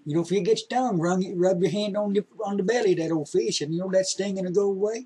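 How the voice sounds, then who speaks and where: A man's voice: sits high, quick pace, mellow, breathy edge, voice pushed up. A man voicing a patient, remorseful old grandfather, speaking tenderly and repeating his words. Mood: coaxing.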